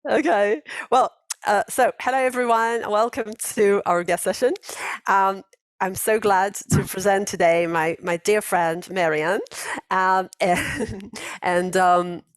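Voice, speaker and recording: A woman's voice: mid-range voice, slow, no echo. A woman in her forties hosting a casual online English class over a video call, chatty, laughing often and restarting her sentences. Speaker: host of an English conversation class.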